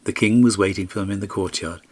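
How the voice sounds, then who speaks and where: Male audiobook narrator, fairly low and slightly rough, telling a fairy tale with expression. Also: steady tone, quick pace, a little mellow.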